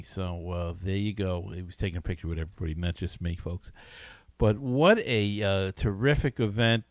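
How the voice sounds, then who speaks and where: A man hosting a casual solo sports talk show in a rambling, halting, enthusiastic manner. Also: low-pitched, a little mellow, smooth, dry recording, studio quality. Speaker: podcast host.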